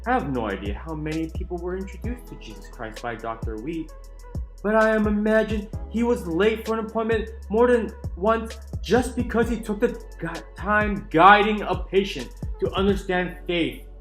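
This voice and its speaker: A man giving a dramatic, emphatic reading of a book, with deliberate pauses. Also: sits high, very animated. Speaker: channel narrator reading a book aloud. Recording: roomy echo with music underneath. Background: soft chill music.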